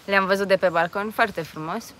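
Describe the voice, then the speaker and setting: A woman's voice: medium pitch, fairly bright tone. A woman chatting casually as a travel vlogger, recorded outdoors. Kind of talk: casual travel vlog chat. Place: outdoors near a jungle railway bridge. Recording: noisy outdoor recording with insects, traffic.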